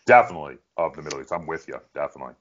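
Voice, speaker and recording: A man's voice: very deep voice, animated, rapid-fire, dull audio, a little hiss or hum. A man hosting a casual interview, asking questions and responding with open enthusiasm and appreciation. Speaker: interviewer, host.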